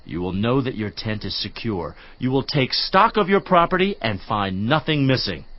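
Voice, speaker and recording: A man's voice: medium pitch, normal speed, dull audio, natural voice. A man playing a solemn, admonishing counselor. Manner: declamatory, lively preaching cadence. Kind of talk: dramatized audio Bible reading.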